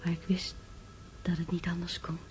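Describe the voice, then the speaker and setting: An actress playing a gentle, grieving sister in a literary radio drama, speaking in a measured, solemn, restrained way. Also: female voice, fairly low pitch, monotone, soft background music, natural voice. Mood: sorrowful, reflective. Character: Maria, grieving gentle sister of a dying man.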